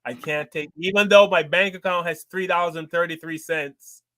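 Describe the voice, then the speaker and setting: Bright, forceful-voiced male comedian on a panel. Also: a little high, fairly even intonation, normal speed, no echo. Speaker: guest stand-up comedian. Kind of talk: casual panel discussion.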